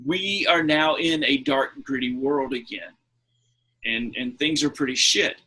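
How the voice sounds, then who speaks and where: A man's voice: medium pitch, unhurried, sharp tone, breathy edge, low-quality recording. A man hosting a casual pop culture podcast, speaking in an emphatic, opinionated way and swearing freely. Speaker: podcast host.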